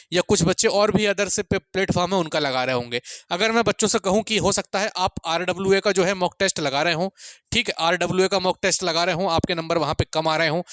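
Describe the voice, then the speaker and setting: Man, high voice, dry close sound, informal coaching lecture, repetitive check-ins. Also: a bit bright, no room sound. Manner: repeats points, frequent check-in tags and friendly address to students. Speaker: exam-prep coach. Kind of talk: informal lecture with exam advice.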